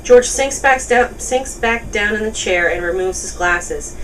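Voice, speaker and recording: A woman's voice: medium pitch, a little slow, a bit bright. A woman reading stage directions as scripted narration at a table read. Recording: distant mic, noisy room.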